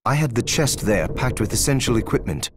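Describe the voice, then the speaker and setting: An actor playing a gentle, regretful scholar and protective companion in a video game, speaking with measured sincerity. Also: male voice, medium pitch, animated, noisy background, close to an everyday voice. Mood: calm, explanatory. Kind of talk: dramatic video game voice acting.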